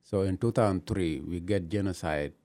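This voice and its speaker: A man from Ethiopia, telling his own story in halting, with many pauses. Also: deep voice, mellow. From Gambela, Ethiopia. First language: not English. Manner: halting non-native English, many pauses. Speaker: interviewee, new citizen. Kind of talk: personal story in an interview.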